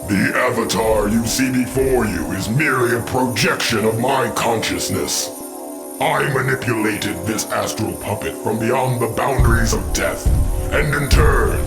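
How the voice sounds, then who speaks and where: Evil dragon king for a fantasy game, gloaty n pompous, echoey voice, music behind. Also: medium pitch. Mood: scornful, gloating. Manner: pompous, theatrical, menacing. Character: male demonic dragon king, gloating and imperious. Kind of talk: dramatic game villain acting. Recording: echoing, loud music behind.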